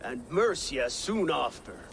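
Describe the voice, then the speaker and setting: An actor playing a confident Viking war leader in a game cutscene, assured, hearty and commanding. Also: male voice, mid-range voice, very animated, slow, vocal fry, fairly bright tone, slight background noise, heavily acted. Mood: resolute. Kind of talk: video game cutscene acting.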